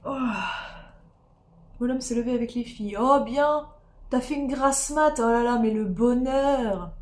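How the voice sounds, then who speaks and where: Hoarse woman with a cold, chatting on stream. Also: a little high, measured pace, a little mellow. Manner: rambling, chatty, many fillers and restarts. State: has a cold, sore throat, losing her voice; tired. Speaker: streamer doing diamond painting. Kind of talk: casual live-stream chat with viewers.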